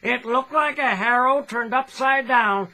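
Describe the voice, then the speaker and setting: Folksy old farmer with rural american twang, high and loud, old phonograph comedy bit, chuckly and gullible. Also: male voice, measured pace, exaggerated voice, rough recording. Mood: excited, amused. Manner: loud, hearty, old-time comic monologue with chuckles. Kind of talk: 1900s phonograph comedy monologue acting.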